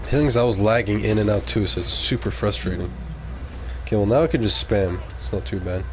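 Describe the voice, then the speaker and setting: A man whose first language is French talks through a live gaming stream in a relaxed, low-pitched voice. He reacts out loud to the action and mutters asides to his viewers. A faint hiss sits under the recording. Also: a little fast. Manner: casual, reacting aloud to the game, muttering asides. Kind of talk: live gameplay commentary.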